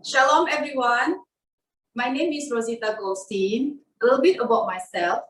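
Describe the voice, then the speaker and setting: A woman's voice: on the high side, moderate pace, a little hiss or hum. A middle-aged woman from Indonesia, whose first language is Indonesian, giving a chatty, playful cooking demonstration from her home kitchen over a video call. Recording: echoey room, far from the mic.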